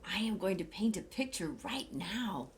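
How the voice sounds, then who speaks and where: A woman voicing an earnest, boyish storybook character with a conscience, in an emotional, expressive manner. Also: mid-range voice, a little slow. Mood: wide-eyed.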